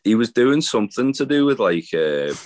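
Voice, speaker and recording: A man hosting a wrestling news podcast, losing his voice to a cold, talking in a halting way with many fillers. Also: medium pitch, big pitch swings, darkish tone. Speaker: podcast host. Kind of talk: casual chat, reading news.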